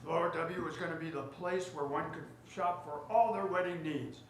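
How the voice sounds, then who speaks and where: A man's voice: a little high, moderate pace, a bit bright, lightly acted. A man playing a sentimental, self-important store heir in a 1940s-style radio drama, speaking formally and theatrically. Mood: wistful, confiding. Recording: roomy theater stage, faint noise.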